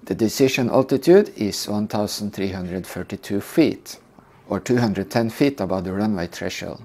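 An older man calmly teaching a scripted lesson on flying approaches. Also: lowish voice. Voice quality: calm, measured explanation. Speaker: flight instructor. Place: indoor room.

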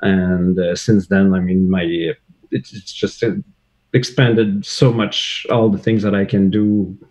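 A man's voice: deep voice, fairly even intonation, unhurried, darkish tone. A man from Quebec whose first language is French, speaking English with a Québécois French accent as a guest on a video call, hesitant and searching for words with many fillers. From Quebec, Canada. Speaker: guest, hobbyist maker. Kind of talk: casual video-call chat. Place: home room with couch and shelves. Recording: dull lo-fi video call audio.

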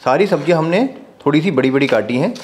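A man narrating a recipe step by step as a cooking show host, giving calm practical tips. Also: on the high side, fast, slight background noise.

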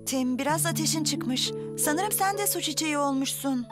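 A woman playing a gentle, encouraging mother of young twins in a dubbed children's TV drama. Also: high voice, normal speed, natural voice. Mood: caring. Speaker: actor in a dubbed children's show. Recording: music underneath.

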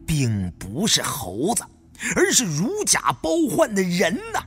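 A man's voice: sits high, wildly swooping pitch, measured pace, fairly bright tone. A man narrating a suspenseful story in a theatrical, dramatic audiobook style.

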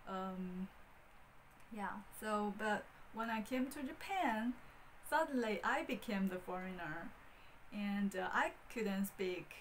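Woman, thirties, Chinese accent, slow, halting speech, lots of ums, small room, faint hiss. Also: medium pitch, expressive, low-quality recording. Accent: Mandarin Chinese. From Beijing, China. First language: Mandarin Chinese. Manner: hesitant, many fillers and restarts. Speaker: vlogger. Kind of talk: casual talk to camera. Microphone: wired handheld mic.

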